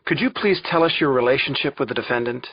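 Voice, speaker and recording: A man playing a male trial lawyer who questions a witness in a measured, formal way. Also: mid-range voice, slightly rough, no room sound, dull audio, pitched above the performer's own voice. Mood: probing, controlled.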